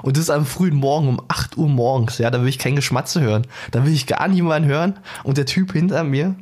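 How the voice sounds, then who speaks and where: A man's voice: a little high, a little fast, a little mellow. A man co-hosting a podcast, telling joking, ranting anecdotes in casual chat. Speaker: podcast co-host.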